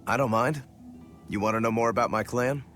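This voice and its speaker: A man's voice: mid-range voice, steady tone, fairly quick, fairly bright tone, soft background music, lightly acted. A man voicing a plainspoken, brooding demon swordsman in dubbed video game dialogue. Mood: frustrated, blunt. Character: male swordsman turned demon, brooding, self-critical eldest-rival brother.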